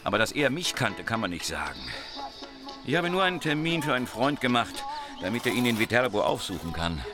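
An actor playing a coarse, defensive, irritable mobster in a radio crime drama. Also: male voice, mid-range voice, very animated, fairly quick, over music, close to an everyday voice. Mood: irritated. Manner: gruff, cursing, defensive. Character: coarse, irritable male Sicilian mobster with guilty secret.

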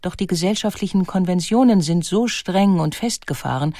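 A woman reading scripted documentary narration in a measured, articulate way. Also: lowish voice, mellow, no room sound, studio quality.

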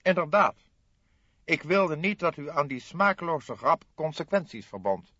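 A man's voice: fairly high pitch, sing-song, a little slow, bright, forceful tone, no echo, lo-fi sound, voice pushed up, exaggerated voice. A man voicing a stout, indignant notary in an audiobook, flustered and stammering with pompous formal wording. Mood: hesitant, insistent. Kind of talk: audiobook character voice.

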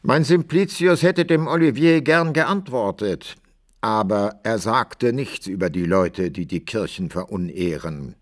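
A man's voice: lowish voice, animated, measured pace. An actor playing an old-time author who narrates his hero's adventures in a radio play, emphatic and literary. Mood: narrating.

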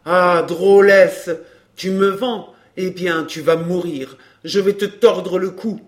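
A man acts out a smooth, scheming gentleman suitor from an audiobook drama. His voice sits a little high for a man, and his speech is formal, theatrical and full of passion. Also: moderate pace, close to an everyday voice. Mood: furious. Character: Georges, suave scheming suitor, pleading then violent.

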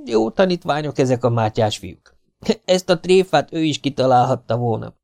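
A man voicing a proud, menacing old-time Hungarian warlord in a storybook reading, making solemn, booming threats. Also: animated, vocal fry, a little mellow. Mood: amused. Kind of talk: audiobook character voice.

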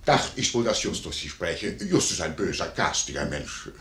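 An actor playing a nosy, greedy, fawning innkeeper with an ingratiating, wheedling manner, in a classical comedy radio play. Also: male voice, low-pitched, very animated, normal speed, a bit bright, heavily acted. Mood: indignant, offended. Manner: ingratiating, wheedling, over-protesting. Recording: roomy vintage 1950s radio recording.

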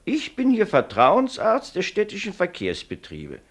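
An officious company doctor in a radio drama. The voice is high for a man and swings extremely widely in pitch, pedantic and condescending. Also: huge pitch swings, a little slow, full character voice. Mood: affronted, officious. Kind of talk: German radio play acting.

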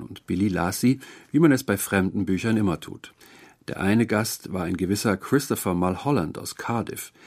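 A man's voice: sits low, slightly rough. A man reading a literary story as narrator, in scripted narration.

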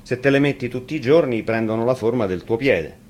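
A man's voice: medium pitch, a little fast, a little mellow, natural voice. A man playing a sly shepherd in a fairy tale, explaining things in a folksy, teasing manner. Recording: noisy live radio reading.

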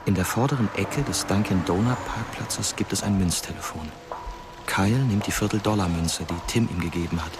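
A man playing a dead teenage boy who narrates his own fatal crash in a radio drama, measured and grave. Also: deep voice, natural voice. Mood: tense, narrating. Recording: loud music under the voice. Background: loud music, vehicle sounds.

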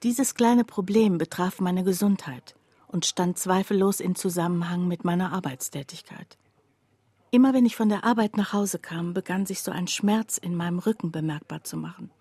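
A woman's voice: lowish voice, moderate pace, warm tone, husky, dry recording. A woman narrating a literary radio drama monologue, confiding and precise, as someone wryly recounting a poor friend's troubles. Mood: confessional, subdued.

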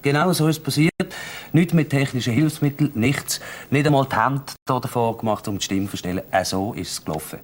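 A man explaining a case in an old TV interview, growing animated when he stresses a point. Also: mid-range voice, a little fast, a little hiss or hum. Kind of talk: archival TV interview.

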